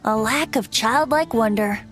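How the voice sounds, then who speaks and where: A woman's voice: fairly high pitch, unhurried, a bit bright, soft background music. A woman playing a playful, theatrical young bard with whimsical, flowery phrasing in an anime-style video game. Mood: musing.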